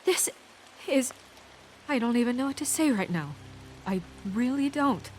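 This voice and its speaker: A woman's voice: a little high, animated, unhurried, slight background noise. An actress playing a composed, earnest woman official who speaks firmly and diplomatically in a video game. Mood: tense, troubled.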